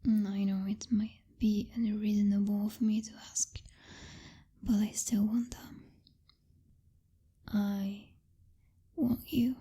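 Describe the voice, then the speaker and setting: A woman acts out a possessive vampire girlfriend in an intimate ASMR roleplay. Her voice is a dark, velvety whisper, very breathy and close to the mic. She speaks slowly, with lingering pauses and hums, bossy and teasing yet secretly tender. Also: medium pitch, little pitch movement, full character voice. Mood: jealous, vulnerable. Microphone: close-up microphone.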